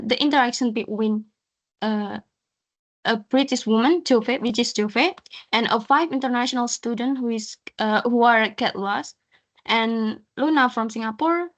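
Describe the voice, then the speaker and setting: A young woman with an Indonesian accent presents to a class as a student. Her voice is fairly high and her delivery is hesitant. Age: young adult.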